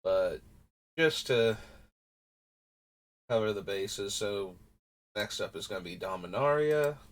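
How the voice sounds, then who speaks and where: A man's voice: fairly low pitch, slow pace. A man with a nasal, stuffy voice from a runny nose, thinking aloud in a rambling, casual way while livestreaming. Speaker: streamer building a card game deck. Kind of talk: casual live-stream talk.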